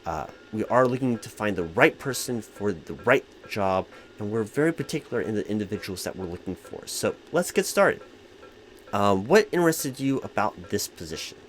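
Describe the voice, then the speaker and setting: Man playing a low-voiced, flustered corporate hiring manager. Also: very animated, moderate pace, faint music behind. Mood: formal, polite. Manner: wordy corporate formality with stammering repetitions. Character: distracted, flustered male corporate hiring manager interviewing a candidate. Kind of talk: comedic one-sided ASMR-style roleplay, spoken aloud.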